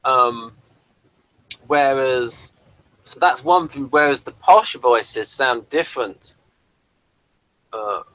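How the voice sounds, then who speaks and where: A man with an English accent explaining things in casual discussion, often halting and rephrasing as he goes. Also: medium pitch, expressive, bright, forceful tone, dry recording, rough recording. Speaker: podcast reviewer.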